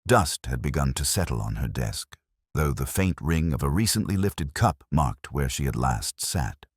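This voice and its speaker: Man acting as melancholy detective inspector, very deep voice, lively intonation, measured literary narration, audio drama, dry close sound. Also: husky, no room sound, heavily acted. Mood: brooding, reflective. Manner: measured, literary, brooding delivery. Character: melancholy, reflective male English police inspector narrating his case.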